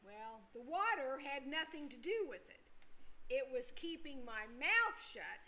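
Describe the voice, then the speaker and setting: An older woman acting as a prim, wry lady in an amateur stage play. Her high voice swings widely in pitch as she phrases each line deliberately and theatrically. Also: big pitch swings, bright, forceful tone, heavily acted. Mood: proudly explaining.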